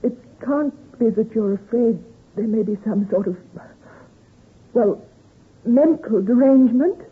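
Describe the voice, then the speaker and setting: An actress playing a devoted, distressed young fiancée with earnest, theatrical pleading in an old-time radio drama. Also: female voice, on the high side, animated, measured pace, breathy edge, heavily acted. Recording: muffled, narrow-band old radio recording.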